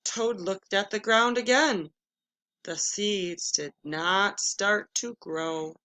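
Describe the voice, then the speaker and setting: A woman's voice: medium pitch, takes it slowly, bright, forceful tone. A woman teacher giving a read-aloud lesson for children, moving between story narration and instruction. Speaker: elementary school teacher.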